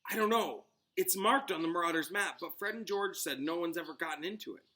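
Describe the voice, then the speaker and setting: A brave teenage wizard from a storybook, voiced by a man. He sounds grim and driven, with terse urgent phrases in a raised, forceful voice. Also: sits high, a little fast, pitched above the performer's own voice.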